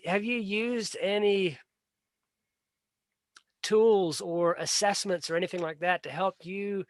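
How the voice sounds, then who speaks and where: A man's voice: high voice, a bit bright, no room sound. A man hosting a casual livestream, talking earnestly and bluntly and often repeating words as he restarts a sentence. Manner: plain-spoken and blunt. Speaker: livestream host. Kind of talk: casual solo livestream talk.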